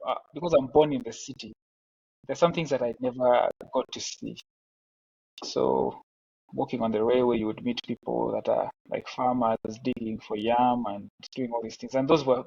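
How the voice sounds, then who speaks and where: A young man from Uganda, a film director, talking casually and haltingly with many restarts on a headset during a video call. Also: medium pitch, gravelly, slightly rough. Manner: relaxed, halting, self-deprecating with restarts. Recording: dry, dull lo-fi call audio.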